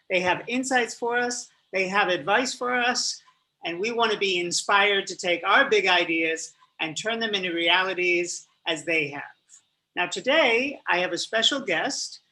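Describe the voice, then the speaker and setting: A man's voice: high voice, sharp tone. A man hosting an interview show who speaks in a friendly, upbeat way and addresses the audience directly. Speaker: host, spokesperson.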